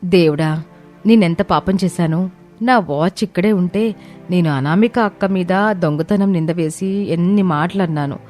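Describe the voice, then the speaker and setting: A woman's voice: fairly low pitch, a little slow, dark tone, faint music behind, close to an everyday voice. A woman voicing an emotional, exclamatory and pleading younger sister-in-law in a family story. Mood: guilty anguish.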